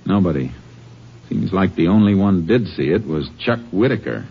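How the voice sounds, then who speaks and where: An actor playing a stern, methodical American Texas Ranger, laconic and matter-of-fact, in a 1950s radio western. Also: male voice, low-pitched, animated, normal speed, creaky, breathy edge, heavily acted. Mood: firm, resolute. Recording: muffled, slightly noisy 1950s radio recording.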